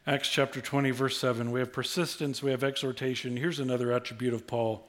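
A middle-aged male pastor giving a sermon, conversational and humorous, swinging from quiet asides to loud bursts. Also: medium pitch, level tone, quick pace. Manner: humorous, conversational preaching with big swings in loudness.

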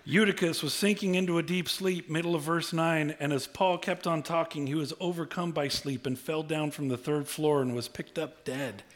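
A middle-aged male pastor giving a sermon, conversational and humorous, swinging from quiet asides to loud bursts. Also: a little high, fairly even intonation, normal speed, few pauses. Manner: humorous, conversational preaching with big swings in loudness.

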